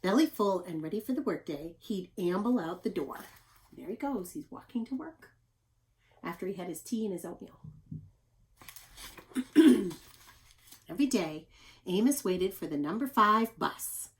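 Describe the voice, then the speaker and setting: A woman reading a picture book aloud to young children as a teacher, with expressive, deliberate emphasis and questioning asides. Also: medium pitch, low-quality recording.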